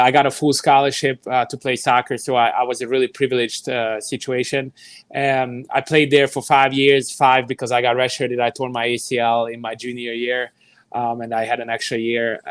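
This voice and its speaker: A man's voice: medium pitch, fairly bright tone, no echo. A man in his thirties from Hungary with a Hungarian accent, a youth soccer director answering interview questions in a thoughtful way, with many fillers and restarts. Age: 30s. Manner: thoughtful, explains at length with frequent fillers and restarts. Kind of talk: live interview conversation.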